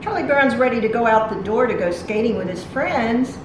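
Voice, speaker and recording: A woman reads a picture book aloud to small children in a fairly high voice. She stops to explain things simply, as a storytime reader does. The sound is very echoey, with noise behind her. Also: lively intonation, normal speed. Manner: simple, explanatory, talking directly to young children. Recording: very echoey room, distant mic, noisy.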